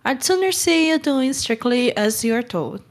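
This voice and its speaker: A woman's voice: high voice, lively intonation, unhurried. A woman playing a stern but kind middle-aged guardian in a storybook read-along, brusque and scolding. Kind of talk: acted audiobook read-along.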